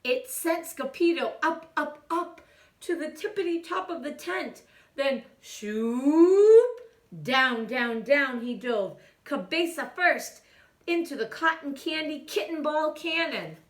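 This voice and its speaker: A woman reading a children's picture book aloud in a theatrical way, with hushed asides and exclamations. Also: sits high, lively intonation, slow, roomy sound. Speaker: children's storytime reader.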